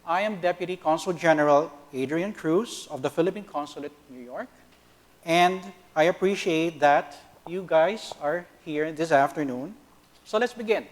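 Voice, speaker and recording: A man's voice: fairly high pitch, moderate pace. A man hosting and moderating a roundtable talk as a consulate official.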